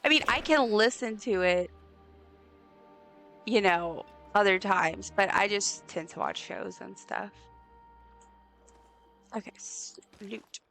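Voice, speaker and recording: A woman with a raspy voice chatting casually while streaming a game, rambling with lots of ums and likes. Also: mid-range voice, slow pace, long pauses. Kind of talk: casual live gameplay chat. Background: soft game music.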